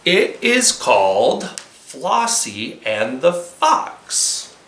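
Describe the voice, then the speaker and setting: A man's voice: fairly high pitch, wildly swooping pitch, extremely slow, a bit bright, slight background noise. A man leading a children's storytime, telling stories and encouraging young listeners as he goes. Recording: roomy, echoey sound.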